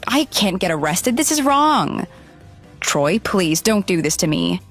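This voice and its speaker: A woman's voice: mid-range voice, sing-song, measured pace, soft background music. Flustered, defensive runaway bride, acted by a woman. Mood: panicked. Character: deceitful runaway bride, pleading, defensive and indignant. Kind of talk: dramatized phone dialogue.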